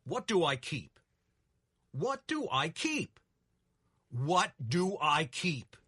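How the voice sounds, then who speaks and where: An actor playing a proud, forceful old hotel keeper in a dramatic story reading, husky and emphatic. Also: male voice, fairly high pitch, sing-song, extremely slow, no echo, clean studio sound, full character voice. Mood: thundering, indignant. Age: older. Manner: thundering, emphatic, indignant.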